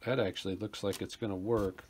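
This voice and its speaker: A man's voice: on the low side, steady tone, brisk, a little mellow. A man giving casual, rambling commentary as he works hands-on at a workbench, thinking aloud informally. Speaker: repair video host, technician. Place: small indoor workshop at a workbench. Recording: clear background noise, handling rustle.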